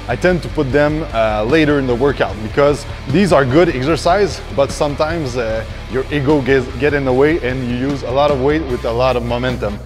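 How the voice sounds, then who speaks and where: A man in his thirties, a fitness coach, explaining a workout step by step in a large indoor gym, with frequent fillers and restarts. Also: mid-range voice.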